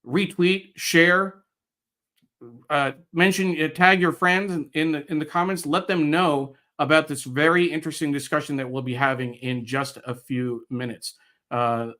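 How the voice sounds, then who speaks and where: A middle-aged American man guest-hosting a live online show, speaking in a halting way with pauses mid-phrase. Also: a little high, bright, forceful tone. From United States, New York area. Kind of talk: live show introduction, reading viewer comments.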